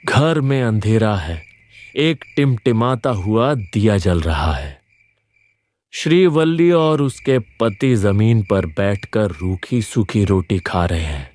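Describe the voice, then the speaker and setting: Casting: male narrator for scripted tales, very low voice, unhurried delivery. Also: expressive, a little mellow, slight background noise.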